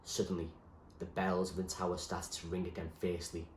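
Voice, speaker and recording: A man reading a novel aloud as expressive storybook narration, like a teacher reading to a class. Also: low voice, fairly quick, echoey room, a little hiss or hum.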